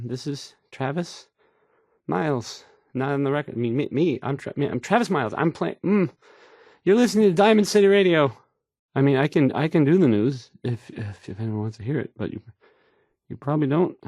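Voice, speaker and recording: A bumbling young radio DJ for a video game, swinging up and down in pitch as he stammers. He sounds flustered and unsure of himself, often trailing off, in a dry, close studio sound. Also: darkish tone, dry recording. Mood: self-deprecating, nervous. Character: nervous, awkward, bumbling young male radio DJ. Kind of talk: scripted game voice acting, halting radio patter.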